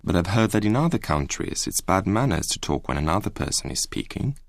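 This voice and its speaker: A man's voice: low-pitched, sing-song, brisk, no echo. A man with an Italian accent, explaining a point earnestly in a scripted coursebook monologue. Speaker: speaker in a coursebook listening. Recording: dry studio recording.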